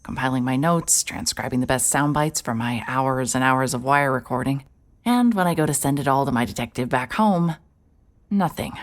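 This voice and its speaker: A woman's voice: rumbling low, normal speed. An actress playing a hard-hearted, sardonic woman private detective in an audio drama, dry and pressing. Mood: weary, wry. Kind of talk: cinematic audio drama acting with first-person noir narration.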